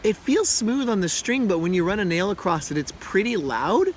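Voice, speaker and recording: A man in his thirties with a scratchy, hoarse voice from being sick, chatting casually as he reviews a yo-yo outdoors in a windy park. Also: sits high, normal speed. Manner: relaxed, chatty, frequent uh fillers. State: hoarse from illness. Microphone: camera close-up.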